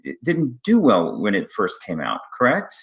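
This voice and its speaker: Low-voiced man, halting and conversational. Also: wildly swooping pitch, vocal fry, a bit bright. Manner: halting, restarts sentences and repeats words. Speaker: interview host, theater artistic director. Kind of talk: casual conversational interview.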